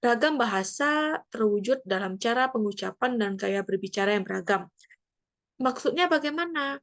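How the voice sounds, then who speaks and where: A woman's voice: on the high side, animated, moderate pace, fairly bright tone. A woman from Indonesia giving a classroom lesson, explaining step by step with frequent fillers and tag questions. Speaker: teacher. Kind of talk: classroom lecture. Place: near the coast.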